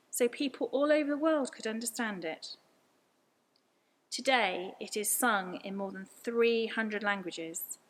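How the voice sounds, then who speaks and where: A woman's voice: medium pitch, a bit bright. A woman reading a scripted story aloud as a storyteller. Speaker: story reader.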